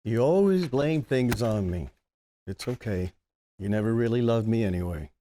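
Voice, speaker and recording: Droll late-fifties screen star with a tipsy swagger, played by a male reader, theatrical, very varied intonation, mid pitch. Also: expressive, moderate pace, mellow, a little hiss or hum, close to an everyday voice. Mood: wounded, resigned. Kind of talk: comedy script table read.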